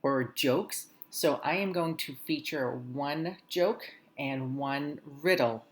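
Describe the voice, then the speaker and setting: A woman hosting a school morning greeting, speaking warmly and encouragingly to students and reading slowly and carefully. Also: sits low. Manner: warm encouraging teacherly tone, slow careful reading. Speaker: school morning announcements host. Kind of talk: friendly talk to schoolchildren.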